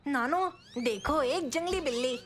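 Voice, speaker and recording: A woman voicing a confident, adventurous twelve-year-old boy in a children's animated comedy dub. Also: high voice, unhurried. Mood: cheerful. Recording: music behind the dialogue.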